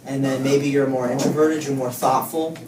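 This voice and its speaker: A man's voice: medium pitch, little pitch movement, normal speed. A man in his thirties asking thoughtful questions in a casual panel conversation. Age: 30s. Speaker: panel participant. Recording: distant, roomy, with background noise.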